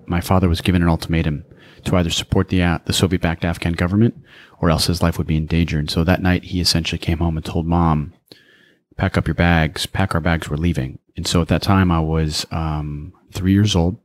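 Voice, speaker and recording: An American man around forty, reflecting on his life as an interview guest in a measured, deliberate way. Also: deep voice, darkish tone, dry recording. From United States, raised in small-town Pennsylvania. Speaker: podcast guest, speaker. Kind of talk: reflective personal storytelling in an interview.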